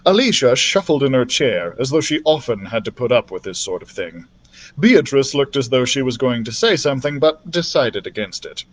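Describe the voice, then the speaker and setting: A man narrating a children's fantasy novel as a library storyteller, expressively, in an animated manner. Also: mid-range voice, moderate pace. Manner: animated, acts out the characters' dialogue.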